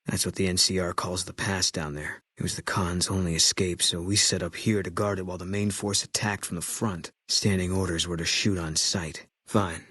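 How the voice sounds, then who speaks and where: Casting: stoic, guilt-ridden military sniper in a video game; deep, raspy, terse, flat delivery. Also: male voice, normal speed, few pauses, no room sound. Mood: terse, watchful. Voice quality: low, husky. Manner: deadpan, terse, clipped sentences. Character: terse, guilt-haunted ex-army sniper, grimly stoic man. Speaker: video game companion character.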